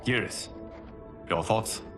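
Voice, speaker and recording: A man's voice: slow pace, over music, natural voice. A man playing a grave, heroic realm protector in a video game, with formal, commanding speech. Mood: grave, resolute. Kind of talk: cinematic video game acting.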